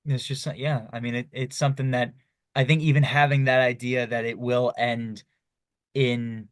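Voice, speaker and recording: A man's voice: medium pitch, little pitch movement, normal speed, no room sound, studio quality. A young man hosting a podcast, asking long, loose questions with lots of you-knows. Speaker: podcast host. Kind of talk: casual interview chat.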